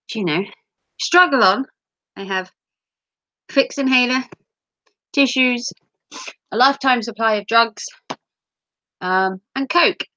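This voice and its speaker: Woman from the UK, sick and wheezy, rambling livestream chat, bright voice, dry dull lo-fi mic. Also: on the high side, no room sound. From United Kingdom. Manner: rambling, self-mocking jokes, many ums and throat clearing. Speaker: livestream host. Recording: dull lo-fi sound.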